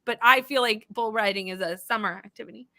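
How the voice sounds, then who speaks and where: A woman's voice: on the high side, fast, pushed and bright, no room sound. A woman co-hosting a book club live show, musing aloud in casual conversation.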